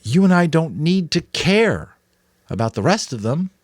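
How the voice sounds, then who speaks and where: A man's voice: fairly high pitch, big pitch swings, measured pace, no echo, clean studio sound, voice pushed up. A man voicing an earnest, determined twelve-year-old boy who pleads insistently, in a dramatic audiobook reading. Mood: pleading.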